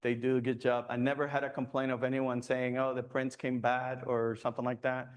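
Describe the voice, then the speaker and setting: Man, guest speaker, club talk, smooth clear voice, rambling fillers, middle pitch. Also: level tone, brisk. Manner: loose, conversational, many fillers and restarts. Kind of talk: presentation with audience questions. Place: meeting room.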